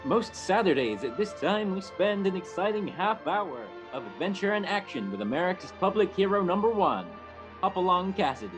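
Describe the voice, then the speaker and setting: Male vintage radio announcer, acted, higher register, polished promotional delivery with music underneath. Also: very animated, moderate pace, low-quality recording. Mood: upbeat. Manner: formal, promotional. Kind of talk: 1950s radio announcing, scripted. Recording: guitar music behind voice.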